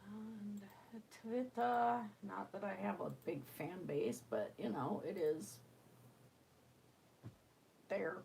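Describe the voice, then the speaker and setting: Slow-talking stuffy-nosed woman streamer. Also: mid-range voice, gravelly, a little mellow, a little hiss or hum. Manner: rambling, chatty, laughs often. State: stuffy from a cold. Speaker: livestream host. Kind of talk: casual livestream chat.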